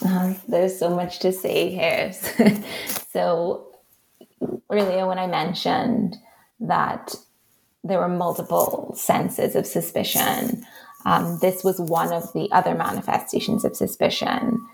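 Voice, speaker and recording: Trinidadian woman author, gravelly lowish voice, thoughtful interview answers. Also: little pitch movement, darkish tone, a little hiss or hum, low-quality recording. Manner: thoughtful, measured, frequent "right?" and "um". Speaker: author and researcher, interview guest.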